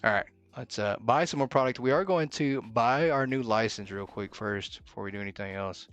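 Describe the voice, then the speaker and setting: A man's voice: mid-range voice, a little fast, a bit bright, smooth, dull audio. A man with a middle-range voice streams a video game over background music. He talks through what he is doing as he goes, muttering to himself in live commentary. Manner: thinks aloud, mutters to himself.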